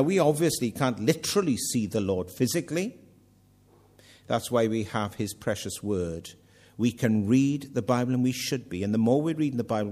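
A man's voice: medium pitch, darkish tone. An older man with a Welsh accent preaching a sermon, earnest with self-mocking humour. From Wales.

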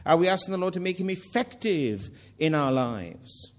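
A man's voice: sits high, big pitch swings, normal speed. An older man with a Welsh accent preaching a sermon, earnest with self-mocking humour. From Wales.

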